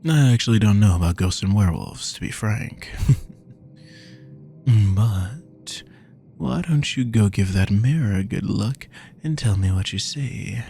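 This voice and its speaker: A man playing a soft-spoken predatory vampire. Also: on the low side, animated, vocal fry, slight background noise. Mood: playful. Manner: slow, soft-spoken, teasing, drops to whispers. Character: courteous predatory male vampire lab technician. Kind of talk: audio roleplay to the listener.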